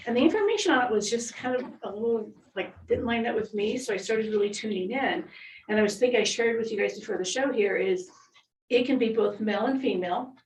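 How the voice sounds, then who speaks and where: A woman from Oregon hosting a livestream as a psychic medium, talking in a chatty, upbeat way and reacting with quick exclamations. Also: medium pitch, far from the mic. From Oregon, USA. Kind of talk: casual livestream chat. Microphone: video call. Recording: roomy echo, away from the mic, faint room noise.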